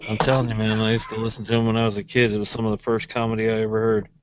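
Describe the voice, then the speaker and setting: A man's voice: on the low side, monotone, very fast, background noise. A foul-mouthed, irreverent man bantering casually as a radio co-host on a lo-fi call. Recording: dull lo-fi online call audio.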